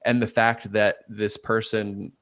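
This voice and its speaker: A man's voice: lowish voice, little pitch movement, slow, a bit bright, no room sound. A man, a guest in a casual interview, thinking aloud and weighing ideas from many angles. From Port Chester, New York. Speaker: guest, artist and designer.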